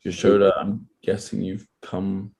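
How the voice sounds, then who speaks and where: A man with a slightly hoarse, dry throat chats casually about devotion, humble and hesitant, letting his phrases trail. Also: low voice, flat delivery, measured pace, darkish tone. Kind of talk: casual, grateful devotional chat.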